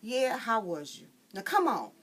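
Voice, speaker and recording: Brief: commanding, zesty young girl, high and forceful voiced by a woman. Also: big pitch swings, moderate pace. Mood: bossy. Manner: bossy, commanding. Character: bossy know-it-all young girl.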